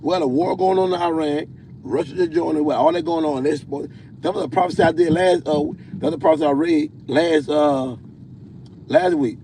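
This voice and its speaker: Deep-voiced guy preaching on a live stream, stumbles over the verses, a little traffic noise in the background. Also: steady tone, warm tone. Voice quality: self-described deep voice. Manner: halting, repeats words, stumbles while reading scripture. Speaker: self-styled prophet hosting a livestream. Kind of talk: livestream preaching and scripture reading.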